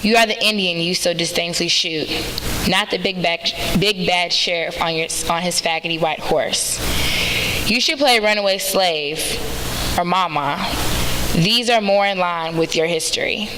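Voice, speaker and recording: Woman reciting poetry slowly in a hall. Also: fairly low pitch. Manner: slow, deliberate poetry recitation. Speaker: student reader. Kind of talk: poetry reading at a public read-in. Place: university event hall. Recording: hissy event recording with long hall reverb.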